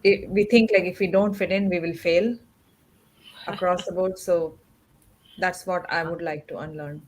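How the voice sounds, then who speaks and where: A woman's voice: lowish voice, steady tone, normal speed. A woman speaking conversationally as a panelist, giving her personal views. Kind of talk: conversational discussion. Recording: faint background noise.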